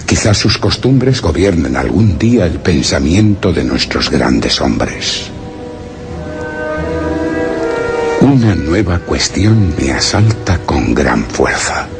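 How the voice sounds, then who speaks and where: A man's voice: very deep voice, sing-song, takes it slowly, gravelly, over music, exaggerated voice. An actor playing a solemn, wondering explorer who narrates his journal in a radio drama, grave and theatrical. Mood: pondering, awed. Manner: grave, literary, theatrical, with rhetorical questions. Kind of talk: Spanish radio drama suspense monologue.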